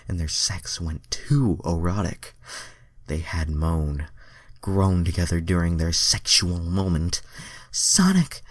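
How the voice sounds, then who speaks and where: A man with a low voice reads a fan story aloud in an amateur style. He stumbles over lines and drops into casual, self-deprecating asides, and the sound is dry. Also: husky, no room sound. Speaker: reader of fan fiction.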